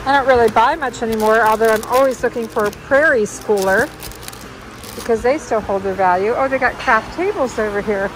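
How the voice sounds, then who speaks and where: A woman's voice: a little high, a little fast, background noise. A woman giving a chatty, casual running commentary for a shopping vlog, thinking aloud as she browses. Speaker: vlog host, thrift store reseller. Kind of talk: casual shop-along vlog chat. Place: large indoor warehouse-style thrift store. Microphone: handheld phone filming the shelves.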